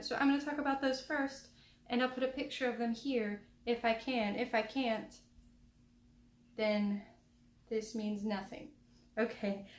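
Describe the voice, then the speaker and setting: Young woman, casual rambling book vlogger, small soft voice, headphone mic, echoey home room. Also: fairly high pitch. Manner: chatty, rambling, self-deprecating. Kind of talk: casual book review chat.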